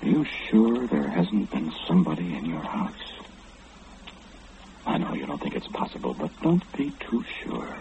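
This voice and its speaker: A man's voice: a bass voice, big pitch swings, moderate pace, creaky, full character voice. An actor in an old-time radio horror play, playing an omniscient, ominous man who confides insistently and questioningly in the listener. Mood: ominous, confiding. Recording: muffled, hissy old radio recording.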